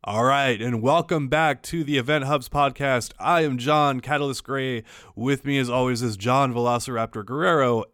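A man hosts a podcast with loose, rambling enthusiasm, his mid-pitched voice landing dry and close. He leans on fillers and quick restarts as he chats. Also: fairly even intonation, a bit bright, dry recording. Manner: rambling, filler-heavy, upbeat. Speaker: podcast host. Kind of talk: casual podcast chat.

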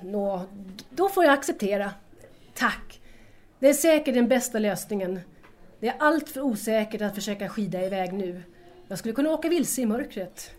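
A woman's voice: medium pitch, lively intonation, lightly acted. A woman playing a polite, hesitant young guest in an audio drama, speaking in a reserved, formal way. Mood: hesitant, grateful.

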